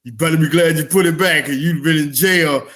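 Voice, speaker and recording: A man's voice: on the high side, fairly quick, fairly bright tone. A man in his forties co-hosting a comedy podcast, chatting informally with slang and easy swearing. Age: 40s. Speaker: podcast co-host. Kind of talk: casual comedic chat.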